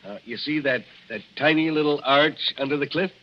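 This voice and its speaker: Man acting older American cowpuncher, folksy, polite, slightly high pitch, radio play, muffled old recording. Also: a little hiss or hum, close to an everyday voice. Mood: genial. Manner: folksy, halting with courtly address. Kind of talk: 1940s radio drama acting.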